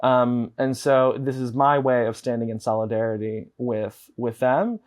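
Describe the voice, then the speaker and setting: An earnest young man from the United States, speaking at a middling pitch as he explains things at length. He talks in a measured way, and his voice comes in through wired earbuds on a home video call. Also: steady tone, a little mellow. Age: young adult. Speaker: guest, advocate. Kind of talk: explanatory interview talk. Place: indoor home room.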